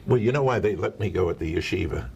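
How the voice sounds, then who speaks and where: An older man with a low, gravelly voice, a longtime broadcaster swapping stories as a guest. He is chatty and quick to joke at himself. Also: lively intonation, darkish tone, muffled recording. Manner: chatty, joking storyteller. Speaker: interview guest, veteran broadcaster. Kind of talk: casual interview chat.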